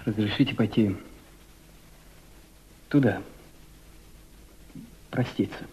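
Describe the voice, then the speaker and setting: An actor playing a devoted, sensitive young male aide in a Soviet radio play, emotive and halting. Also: lowish voice, dark tone, a little hiss or hum. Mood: grief-stricken. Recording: muffled archival radio drama recording.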